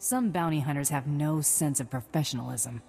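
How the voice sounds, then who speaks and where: An actress playing a tough, curt bounty hunter in a video game, wry and no-nonsense. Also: female voice, low-pitched, moderate pace, dark tone, husky, in a large hall, soft background music. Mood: cool, businesslike.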